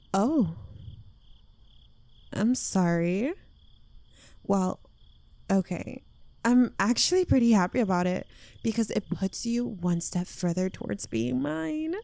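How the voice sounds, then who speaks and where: Woman acting a slow, creaky-voiced possessive witch girlfriend. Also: mid-range voice, dark tone, no room sound. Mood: coy, playful. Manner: coy, teasing, lingering delivery dropping into breathy whispers. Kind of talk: intimate ASMR roleplay, addressed to the listener.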